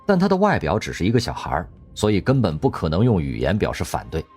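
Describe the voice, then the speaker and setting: A man narrating an audio drama in a wry, expressive storytelling manner. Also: low voice, fast talker, slightly rough. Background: faint background music.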